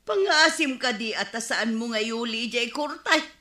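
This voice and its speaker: Sharp-voiced gossipy Filipino village woman. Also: a little high, lively intonation, normal speed, roomy sound. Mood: flustered, pleading. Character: gossiping village woman, evasive, pleading when caught. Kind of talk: Filipino radio comedy drama acting.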